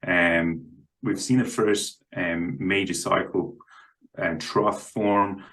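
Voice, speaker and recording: A man's voice: sits low, steady tone, slow pace, fairly bright tone, poor audio. A man speaking as a guest analyst in unscripted market commentary, hesitant and often repeating his words.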